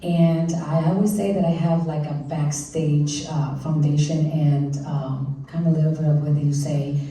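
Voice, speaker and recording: A woman with a very deep, dark voice introduces herself on a panel. She speaks hesitantly, filling pauses with um and uh. She is heard from a distance in a strongly echoing hall. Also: steady tone. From Puerto Rico. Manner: hesitant, many fillers, halting phrasing. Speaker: panelist, life coach. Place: convention hall. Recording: echoey hall from far off.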